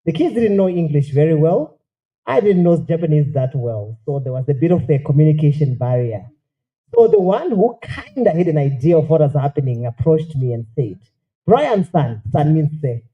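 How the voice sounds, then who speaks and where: A man's voice: a little high, normal speed, phone-quality sound. A man with a Zimbabwean accent speaking as master of ceremonies, telling stories informally and playfully to a formal gathering. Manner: playful, animated storytelling. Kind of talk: informal public speaking, humorous anecdote. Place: hotel function room at a formal event.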